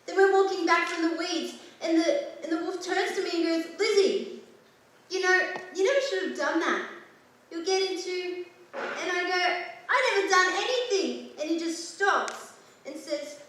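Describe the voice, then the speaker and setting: A woman playing a frightened young girl who answers timidly and then rushes through a story, recorded from far off on an echoey stage. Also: very high-pitched, fairly bright tone. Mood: breathless.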